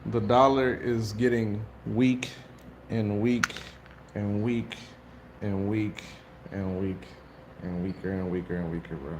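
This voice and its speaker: A man's voice: on the low side, steady tone, takes it slowly, pauses often. American guy, livestream host, loose slangy chat, echoey room, faint hiss. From United States. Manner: slangy, laid-back, laughs often.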